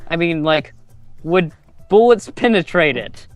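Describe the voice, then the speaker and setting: A man with a high, clean voice speaks slowly and haltingly as a player in a casual tabletop game. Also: animated, clean tone, no echo, soft background music. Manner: hesitant, halting. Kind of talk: casual chat in a game session.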